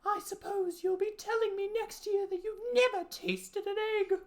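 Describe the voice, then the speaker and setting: A woman voicing a frantic, indignant, suspicious pigeon from a storybook, scolding in drawn-out shrieks. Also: very high voice, fairly even intonation, voice pushed up. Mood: scornful, sulky. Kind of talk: storybook character voice.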